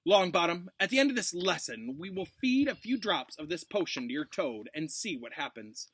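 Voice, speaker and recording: A man voicing a cold, sneering, strict potions teacher with commanding, drawn-out emphasis in a storybook reading. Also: sits high, sing-song, a bit bright, slightly rough, voice pushed up, exaggerated voice. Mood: cold, scornful.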